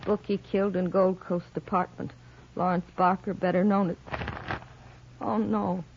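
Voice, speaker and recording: A woman's voice: mid-range voice, flat delivery, normal speed, lightly acted. An American actress playing a defensive, indignant kept woman with clipped, dramatic protests in an old radio crime drama. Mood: shocked, grieving. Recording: noisy, muffled old radio recording.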